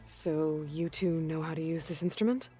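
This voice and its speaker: Aloy, direct steady young adventurer played by a woman. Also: low-pitched, normal speed, natural voice. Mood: curious. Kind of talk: video game cutscene acting. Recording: music behind the dialogue.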